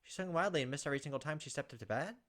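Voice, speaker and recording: A man's voice: on the high side, expressive, very fast, noisy background. Man theatrically narrating a visual novel. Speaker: gameplay video host reading aloud.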